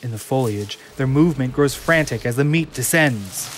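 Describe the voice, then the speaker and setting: A man reading stage directions aloud as narrator in an even, descriptive way. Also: medium pitch, normal speed, slight background noise. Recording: loud music underneath.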